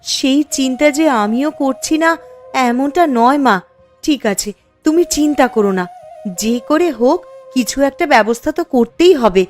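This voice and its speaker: A woman's voice: high voice, animated, moderate pace, a little mellow, soft background music. A woman voicing a steadfast, supportive young wife in a cartoon story, with an earnest, reassuring manner. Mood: concerned. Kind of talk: Bengali cartoon story dialogue.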